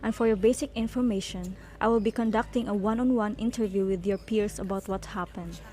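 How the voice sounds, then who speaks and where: A woman's voice: mid-range voice, steady tone, a little fast, mellow, close to an everyday voice. A woman playing a stern, businesslike investigator in a radio drama, formal and insistent. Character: stern businesslike female investigator questioning students.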